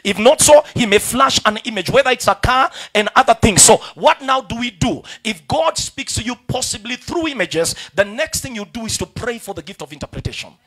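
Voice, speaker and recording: Man, Kenyan accent, preacher, high-pitched, bright tense voice, church hall, handheld mic. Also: lively intonation, fairly quick, hardly any pauses. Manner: preaching, rising to shouts, calls for amen. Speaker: pastor. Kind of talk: sermon. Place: large church hall indoors. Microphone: handheld microphone.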